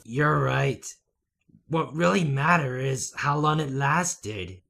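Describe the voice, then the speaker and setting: A man voicing a starving, emotional young wolf in an anime fan dub, with a gravelly voice and halting delivery. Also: mid-range voice, sharp tone, faint music behind, heavily acted. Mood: sorrowful. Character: anguished young male wolf, starving, devoted to his friend.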